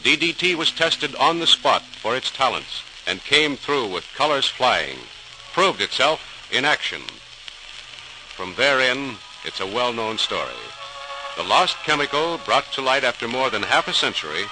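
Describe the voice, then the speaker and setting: A man's voice: medium pitch, big pitch swings, normal speed. A man narrating a scripted 1940s radio programme as announcer, in a formal, dramatic, declamatory style. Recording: noisy old radio transcription recording.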